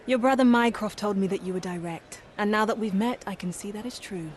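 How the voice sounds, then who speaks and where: A woman's voice: medium pitch, brisk, darkish tone, slight background noise, lightly acted. An actress playing a playful, flirtatious woman who keeps a city's archives in a video game. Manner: playful, teasing. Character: flirtatious female city official, teasing.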